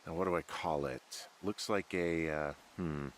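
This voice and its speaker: A man voicing a weary, wry, awkward male fire lookout in a video game, speaking hesitantly with low-key dry humor. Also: fairly even intonation, unhurried, slight background noise. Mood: playful. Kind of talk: naturalistic video game voice acting.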